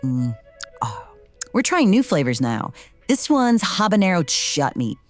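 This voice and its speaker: Low-voiced, swooping, taunting lady crime boss. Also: sing-song, measured pace, dry recording, faint music behind. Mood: smug, playful. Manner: taunting, theatrical, hums while eating. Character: smirking, cruel wrestling boss woman with a mocking temper. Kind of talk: noir audio drama acting.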